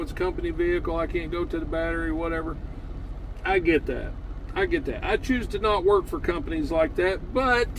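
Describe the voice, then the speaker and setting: A man with a notably high voice hosts a casual, hands-on test vlog. He talks in a folksy, plain-spoken way and freely gives his own opinions. A faint vehicle noise sits behind his voice. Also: low-quality recording. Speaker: host of a trucking and CB radio channel.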